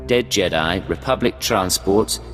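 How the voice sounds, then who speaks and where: Cool video game secret agent, low-pitched, measured and commanding, long reverb, music underneath. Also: male voice, fairly bright tone. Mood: cold, unyielding. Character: cool, confident male Imperial secret agent. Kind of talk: dramatic video game dialogue.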